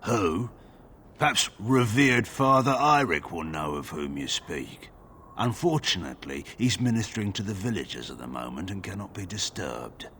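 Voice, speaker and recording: A man's voice: low voice, expressive, normal speed, a bit bright, slight background noise. A man playing a terse, suspicious village guard in a video game, speaking in a guarded, formal way. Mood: guarded, firm. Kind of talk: video game dialogue acting.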